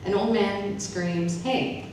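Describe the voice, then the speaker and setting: A woman's voice: medium pitch, steady tone, slow pace, a little mellow. A woman poet and professor reading her own poems live at an event. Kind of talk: live spoken-word poetry reading. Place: reverberant event room with an audience. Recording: very echoey room, distant mic, noisy.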